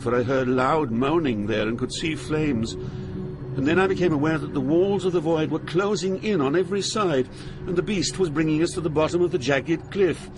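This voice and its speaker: A man's voice: on the high side, lightly acted, poor audio. An actor playing an awed, fearful poet pilgrim, narrating his journey through hell in a solemn, theatrical manner. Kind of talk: dramatized literary narration.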